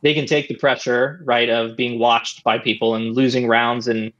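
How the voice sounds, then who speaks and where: A man in his thirties, an interview guest, telling old stories in a relaxed, rambling way, on a boom mic at home. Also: normal speed, highs cut off. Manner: relaxed, rambling with many "I mean" and "right?". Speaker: interview guest, former esports player. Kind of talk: casual storytelling. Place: home room, indoors. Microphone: studio mic on boom arm, headset.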